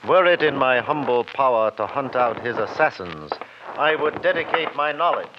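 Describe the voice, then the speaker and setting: A man's voice: medium pitch, expressive, normal speed, close to an everyday voice. A man playing a smug, preening lawyer in a 1950s radio drama, with pompous, ornate and mocking phrasing. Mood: grandiose. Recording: muffled, slightly noisy old radio recording.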